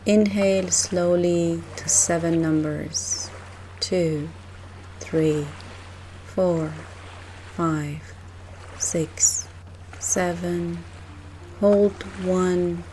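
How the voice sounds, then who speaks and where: Soft gentle lady doing guided meditation, warm relaxed voice, really slow w long pauses, faint water noise behind. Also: fairly low pitch, poor audio.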